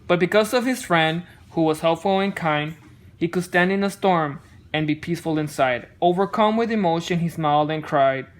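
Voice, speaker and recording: A woman reading a children's story aloud and offering casual, gentle encouragement as a parent. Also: lowish voice, moderate pace. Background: music.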